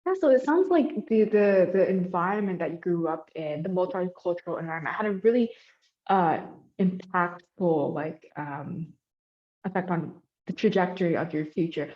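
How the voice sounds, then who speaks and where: A woman's voice: on the low side, normal speed. A young woman interviewing a professor, asking friendly questions full of ums and likes. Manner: friendly, filler-heavy, with many ums and likes. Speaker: student interviewer. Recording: slightly dull lo-fi audio.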